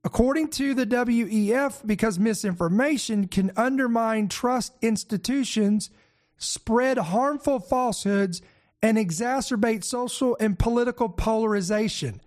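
A man hosting and pastoring a news commentary show, his voice raspy and congested from a head cold, talking in an energetic, emphatic way. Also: very high voice, unhurried. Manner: energetic, emphatic preacher-style delivery with fillers. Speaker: host and pastor.

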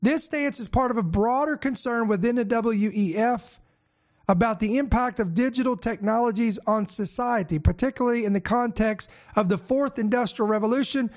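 A man hosting and pastoring a news commentary show, his voice raspy and congested from a head cold, talking in an energetic, emphatic way. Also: extremely high pitch, moderate pace. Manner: energetic, emphatic preacher-style delivery with fillers. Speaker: host and pastor.

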